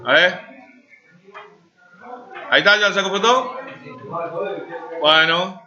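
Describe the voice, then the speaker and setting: A man's voice: high voice, slow pace, pushed and bright. A man, teaching a programming class live, talking while he types and checking in with students. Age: 40s. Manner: talks while typing, asks students checking questions. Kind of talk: live classroom coding lesson. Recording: dull lo-fi classroom recording.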